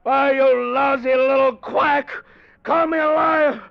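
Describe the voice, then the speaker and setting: An actor playing a paranoid, hysterical man who rages with a gravelly, strained voice in a 1940s radio drama. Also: extremely high pitch, fairly even intonation, slow pace, full character voice. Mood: raging. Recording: dull old radio recording.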